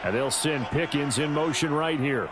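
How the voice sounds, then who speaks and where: A man with an American accent playing a play-by-play football announcer in a video game, calling the action in crisp, clipped phrases. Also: on the high side, moderate pace, close to an everyday voice. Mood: steady, focused. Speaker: play-by-play sports commentator. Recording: very loud game crowd and field noise.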